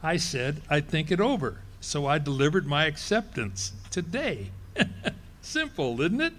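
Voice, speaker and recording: A sheepish blustery hubby from an old radio comedy, bouncy voice, kinda high. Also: male voice, very animated, measured pace, fairly bright tone. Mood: boastful. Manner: sputtering, bursts of laughter and exclamations. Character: blustering sheepish middle-aged husband. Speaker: radio stage actor. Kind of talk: live 1940s-style radio comedy acting. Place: ballroom stage before an audience.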